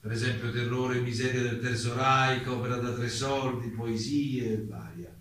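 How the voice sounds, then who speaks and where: A man performs a guarded male playwright giving testimony in a radio drama. He speaks at a middle pitch, hesitates and circles back over his answers. The sound is very reverberant and distant, with faint noise. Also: steady tone, normal speed, a bit bright, lightly acted. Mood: conversational, guarded. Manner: hesitant, repetitive, evasive. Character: evasive male German playwright testifying as a witness. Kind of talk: dramatized hearing testimony in a radio theatre piece.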